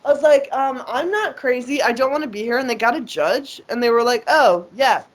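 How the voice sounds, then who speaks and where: A woman with a slightly high voice talks loosely and at length. She curses freely, circles back on herself and keeps starting her sentences over. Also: brisk. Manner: rambling, profane, frequent fillers and restarts. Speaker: interview guest. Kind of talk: casual conversation.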